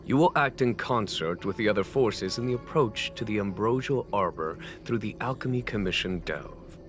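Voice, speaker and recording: A man plays a calm, courteous game general with a low voice that moves in lively, wry turns over background music. He speaks formally and with measure, as a commander of a spacefaring fleet would. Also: normal speed, close to an everyday voice. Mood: measured, wry. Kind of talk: scripted video game dialogue.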